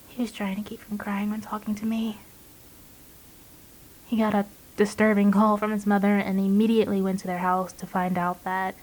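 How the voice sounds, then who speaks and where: A woman playing a gentle, earnest young waitress, confiding hesitantly in an audio drama monologue. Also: medium pitch, lightly acted, low-quality recording.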